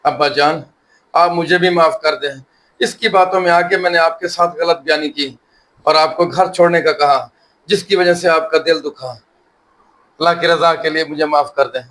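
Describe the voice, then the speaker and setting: A man's voice: a little high, fairly even intonation, moderate pace, fairly bright tone, natural voice, poor audio. A man playing a dutiful, anxious and deferential elder son in a moral short drama. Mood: respectful, contrite. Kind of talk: Urdu moral short-film acting.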